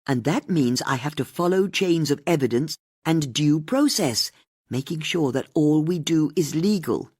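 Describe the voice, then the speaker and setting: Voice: medium pitch, lively intonation, unhurried, darkish tone, dry recording, studio quality, voice pushed up. An audiobook narrator voicing a weary, by-the-book policewoman who speaks firmly and emphatically. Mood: exasperated. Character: exasperated, firm, by-the-book policewoman.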